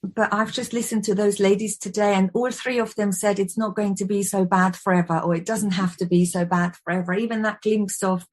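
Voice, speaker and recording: A woman's voice: medium pitch, steady tone, a little fast, few pauses. Chatty woman in her forties. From Austria. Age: 40s. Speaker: guest, wellbeing expert and yoga teacher. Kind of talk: conversational interview.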